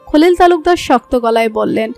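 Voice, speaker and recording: A woman's voice: high-pitched, lively intonation, moderate pace, darkish tone, faint music behind. A woman with a Bangladeshi accent reading scripted narration for a romantic story.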